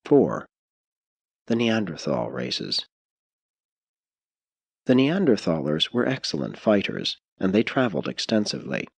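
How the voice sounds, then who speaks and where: A man reading a scripted audiobook narration in a measured, formal way with clearly phrased sentences. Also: on the low side, sing-song, no room sound. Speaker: audiobook reader.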